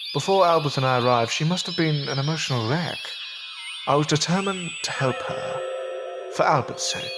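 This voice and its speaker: A man playing an earnest, anxious upper-class gentleman learning magic in an audio drama, speaking politely and formally with a hesitant stammer. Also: medium pitch, close to an everyday voice, poor audio. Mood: reflective. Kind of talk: audio drama acting with narrated reflections. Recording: voice over prominent background music.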